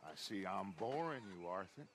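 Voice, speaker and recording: An actor playing a charismatic middle-aged American outlaw gang leader, speaking in a persuasive, theatrical, grandiloquent way in a western game. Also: male voice, sits low, lively intonation, normal speed, a bit bright, a little hiss or hum. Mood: wistful, lofty. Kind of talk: dramatic western game cutscene acting.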